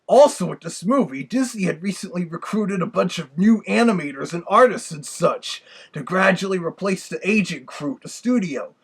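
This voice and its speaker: High, gravelly, husky male vlogger. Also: animated, moderate pace, hardly any pauses. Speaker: vlogger reviewing a record. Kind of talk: casual review commentary.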